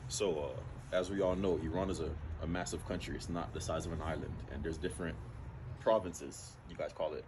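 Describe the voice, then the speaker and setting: A man's voice: sits low, fairly even intonation, fairly quick, noisy background. A man from Miami interviewing a guest in a casual, conversational way, often saying "you know".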